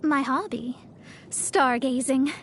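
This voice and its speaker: An actress voicing a proud, earnest young astrologer in a video game, formal and precise. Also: female voice, sits high, takes it slowly, slight background noise. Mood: earnest, lighter. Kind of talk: scripted video game voice lines.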